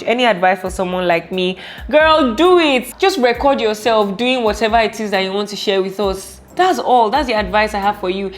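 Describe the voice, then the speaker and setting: A woman in her late twenties with a Nigerian accent, chatting casually and playfully as a vlogger answering viewer questions, animated and exclamatory. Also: medium pitch. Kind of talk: casual Q&A vlog chat.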